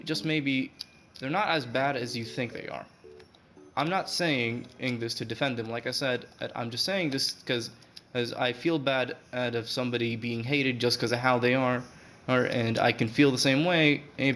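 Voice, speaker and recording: Casting note: man with an English accent, mid-pitched, rambling and hesitant, loose voice-over, clear guitar music behind. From Saudi Arabia. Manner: rambling, hesitant, many fillers and restarts. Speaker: gaming video creator. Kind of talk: unscripted voice-over commentary. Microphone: voice-over over gameplay footage.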